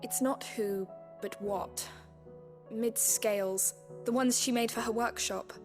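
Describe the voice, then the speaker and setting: An earnest, gently firm schoolteacher in a fantasy game. Her voice is fairly high, her delivery measured and well-spoken, with music clearly playing behind her. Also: steady tone. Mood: puzzled, wry. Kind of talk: video game voice acting.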